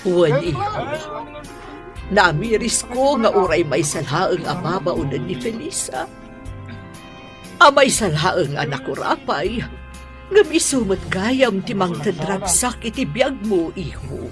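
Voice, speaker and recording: An effusive older Filipino matriarch, doting on her family in a radio drama. Her pitch swings widely and expressively, with music playing clearly underneath. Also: lively intonation, slow, long pauses. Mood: fond, pleased. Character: older mother-in-law, doting matriarch pleased with her children's weddings.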